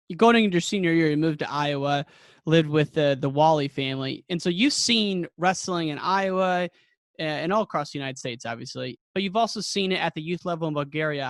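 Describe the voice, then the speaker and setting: A man's voice: a little high, dry recording, clean studio sound. A man hosting a podcast and interviewing a guest, upbeat and friendly. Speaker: podcast host.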